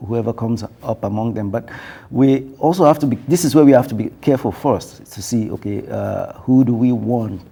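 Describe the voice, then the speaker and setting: A man speaking on a television panel, thoughtful and halting, with many fillers and restarts. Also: medium pitch, creaky, mellow, slightly rough. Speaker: panel guest. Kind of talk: panel discussion.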